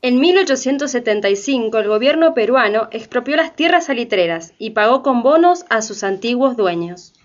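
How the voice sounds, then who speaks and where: High-voiced woman narrator. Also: lively intonation, moderate pace, hardly any pauses, dull audio, faint music behind. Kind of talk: scripted documentary drama narration.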